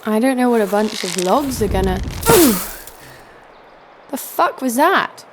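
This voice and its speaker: A woman's voice: a little high, animated, measured pace, heavy background noise. A woman playing a hot-tempered young female fighter in an audio drama, blunt and sarcastic. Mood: defiant, irritated. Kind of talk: first-person audio drama narration and dialogue.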